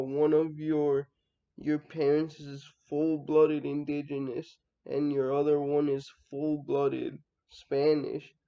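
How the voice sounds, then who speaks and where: A young man from the United States giving a reflective personal monologue, halting and thinking aloud, often trailing off. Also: on the high side, dark tone, clean tone, muffled recording. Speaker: vlogger.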